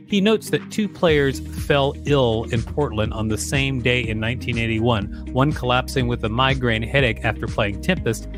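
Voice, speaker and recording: A man co-hosting a podcast, reading an encyclopedia article aloud at a steady pace and adding relaxed quick asides. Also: mid-range voice. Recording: music bed underneath.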